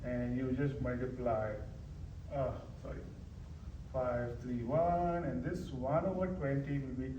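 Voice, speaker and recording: A man's voice: mid-range voice, fairly even intonation, slow, mellow, dull audio. A man teaching math informally, working through a problem step by step as he writes and often repeating his phrases. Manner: explains step by step while writing, repeating phrases. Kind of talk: informal worked-example math lesson. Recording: roomy echo, distant mic, faint noise.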